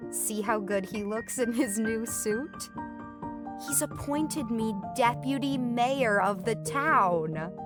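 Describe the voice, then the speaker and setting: Clear music sits behind a woman acting as a caring older sister in a family audio drama. She speaks slowly at a middle pitch, with a gentle, expressive storyteller's manner. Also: close to an everyday voice.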